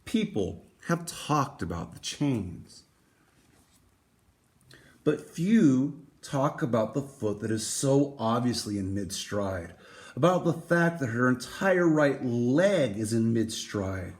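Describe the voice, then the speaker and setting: A man reading a picture book aloud, with dramatic emphasis and deliberate pauses. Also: mid-range voice, big pitch swings. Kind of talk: expressive storybook read-aloud.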